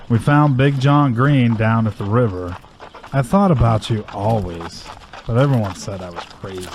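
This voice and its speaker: A man's voice: lowish voice, a little mellow, slight background noise. A man playing a weary, shaken disaster survivor in an audio drama. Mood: tender. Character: weary, shaken male disaster survivor reuniting with his love.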